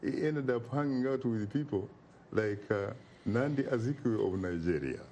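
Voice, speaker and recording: Low, mellow man, oratorical. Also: lively intonation, normal speed, a little hiss or hum. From Uganda. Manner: emphatic and oratorical, repeats phrases for effect. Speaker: interview guest. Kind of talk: impassioned interview talk.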